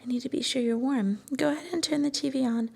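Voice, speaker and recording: A woman playing an affectionate, shy young student girlfriend in an intimate ASMR roleplay, soft-spoken and breathy, often slipping toward a whisper. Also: high-pitched, steady tone, fast, exaggerated voice. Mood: tender, caring. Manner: tender, coaxing, bashfully hesitant.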